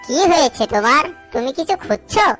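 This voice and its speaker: Woman voicing a high-pitched plaintive cartoon mother duck. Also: sing-song, brisk. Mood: curious, suspicious. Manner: plaintive, exclamatory. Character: distressed mother duck, trusting and worried about eggs. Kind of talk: children's cartoon voice acting. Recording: music behind the voice. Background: music.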